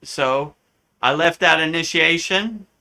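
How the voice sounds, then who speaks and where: A man from the United States, a spiritual teacher telling a story in a live Q&A, animated and often acting out the voices he quotes. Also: fairly high pitch, takes it slowly. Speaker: spiritual teacher answering questions.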